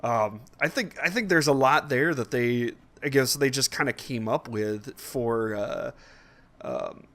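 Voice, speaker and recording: A man's voice: medium pitch, a little slow, a bit bright. A man co-hosting a podcast in casual chat about films, hesitant as he searches for his words. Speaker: podcast co-host.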